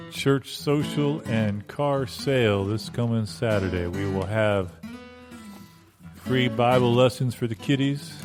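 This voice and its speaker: A man playing a folksy small-town Baptist pastor who sells used cars, preaching his slow, deliberate pitch like a homespun radio advert. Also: natural voice. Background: plucked acoustic guitar.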